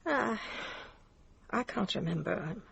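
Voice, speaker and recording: A woman's voice: medium pitch, expressive, slow, creaky, fairly bright tone, breathy edge, dry recording, lo-fi sound, full character voice. A woman playing a frail, weary, faltering lady in a radio mystery. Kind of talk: radio mystery drama acting.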